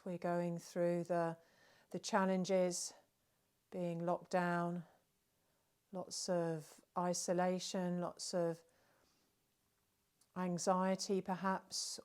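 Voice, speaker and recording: A woman guides a relaxation session in a gentle, soothing manner. Her voice sits a little low and stays very even, moving very slowly with long pauses between short phrases. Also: clear, resonant tone. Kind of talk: guided meditation narration.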